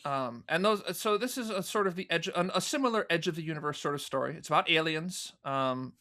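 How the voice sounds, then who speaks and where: A man's voice: fairly high pitch, fairly quick, pushed and bright. A man speaking as a guest in a casual podcast conversation, telling things animatedly and acting out imagined quotes. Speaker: interview guest, audio drama producer.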